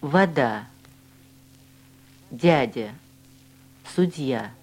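A woman reading language-course drills and dialogues with slow, deliberate, carefully enunciated speech. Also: extremely low pitch, expressive. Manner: clear, deliberate articulation of each word.

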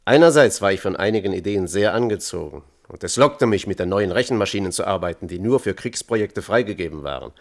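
An actor playing a thoughtful, conscience-troubled physicist testifying as a witness in a German radio play, measured and candid. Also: male voice, low voice, animated. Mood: grave, troubled.

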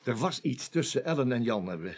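A man's voice: mid-range voice, lively intonation, fairly quick, darkish tone, slightly rough. An actor playing a cornered, evasive security man in a radio crime drama, stammering and defensive. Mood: hesitant, evasive.

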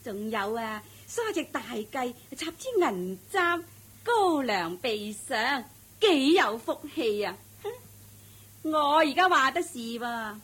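Plump bossy servant woman, mocking, cackling, high lively voice, radio play. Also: takes it slowly, breathy edge, heavily acted. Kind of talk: Cantonese radio drama acting.

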